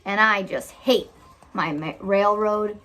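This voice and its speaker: A woman's voice: mid-range voice, slight background noise. A woman reading a picture book aloud expressively as a teacher, stopping to put simple questions to a child. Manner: animated, pauses to ask simple questions.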